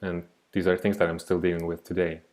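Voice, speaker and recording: A man in his thirties from Switzerland presents an art class over a video call. His low voice stays soft-spoken and reflective, and he wanders into side thoughts. It comes through a laptop mic. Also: level tone, fairly quick. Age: 30s. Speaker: art teacher.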